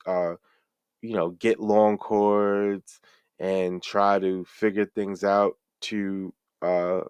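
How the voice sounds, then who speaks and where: A middle-aged man, a fellow streamer, answering interview questions in a friendly, reflective, halting way. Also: on the low side, clean tone, no room sound. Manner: rambling, halting, many restarts and fillers.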